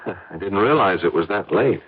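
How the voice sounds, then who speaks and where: An actor playing a weary, troubled husband in an old-time radio mystery, halting and evasive in his talk. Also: low voice, expressive, slightly rough. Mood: distracted, evasive. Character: weary, troubled husband resisting a murder plot. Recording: muffled, faintly noisy old radio recording.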